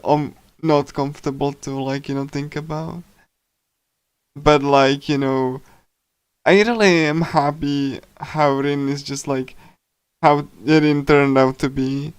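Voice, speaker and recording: A man livestreaming in a casual, rambling chat style, speaking haltingly with lots of filler words. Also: a little high, fairly even intonation, clean tone, no room sound. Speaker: livestreamer reading a visual novel.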